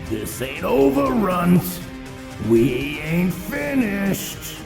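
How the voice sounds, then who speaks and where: A man playing a savage, sadistic mutant villain in an audio drama, taunting with a snarling, menacing rasp. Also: high voice, wildly swooping pitch, a little mellow, full character voice. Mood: vicious. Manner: menacing, mocking, drawn-out. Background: dramatic music.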